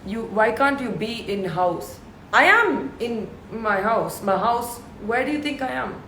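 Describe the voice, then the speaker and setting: A woman in her thirties with a deep voice, chatting casually and reading fan questions aloud on a live stream from her home. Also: animated, a little slow, pushed and bright, roomy sound, slight background noise. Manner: chatty, reads comments aloud and answers them. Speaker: live stream host answering fans. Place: her house with bare concrete walls. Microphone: phone livestream.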